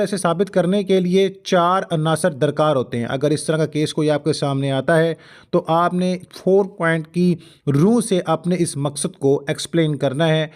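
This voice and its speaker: A man with a Pakistani accent and a fairly high voice delivers a legal lecture. He explains formally, moving between reading and commentary, and drops in English terms. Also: a little mellow. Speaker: law lecturer.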